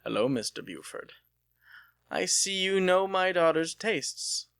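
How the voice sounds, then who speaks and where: A man's voice: high-pitched, sing-song, unhurried, vocal fry, a bit bright, no room sound, pitched above the performer's own voice, full character voice. A stern, imposing wealthy father in a storybook tale, voiced by a male reader. He speaks with commanding, businesslike authority and firmly varied intonation, in a very dry recording. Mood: formal, stern. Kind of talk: dramatic audiobook character voice.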